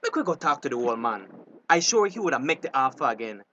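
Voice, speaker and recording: A man's voice: medium pitch, very animated, fast, bright, forceful tone, muffled recording, slight background noise. An actor playing an anxious, honest fisherman in a drama, pleading urgently in a Belizean Creole accent. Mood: worried. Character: anxious, honest male fisherman, wary of crime. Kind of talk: naturalistic dialect radio drama acting.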